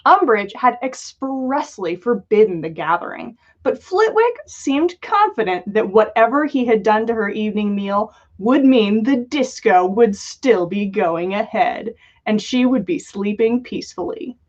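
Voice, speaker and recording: A woman's voice: mid-range voice, expressive, a little slow. A woman reading a story aloud as a livestream host, with lo-fi sound. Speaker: podcast host reading fan fiction aloud. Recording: dull lo-fi livestream audio.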